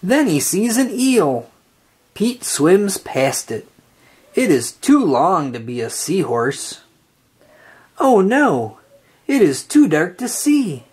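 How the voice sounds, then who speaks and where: Slow, sing-song breathy woman reading a storybook. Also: lowish voice, big pitch swings, darkish tone. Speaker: children's book reader. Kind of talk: read-aloud storytelling for kids.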